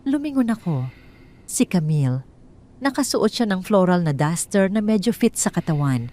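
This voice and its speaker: Woman narrator, low voice, scripted drama reading, measured and icy. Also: a little mellow. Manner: measured, bitter and cold. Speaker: storyteller.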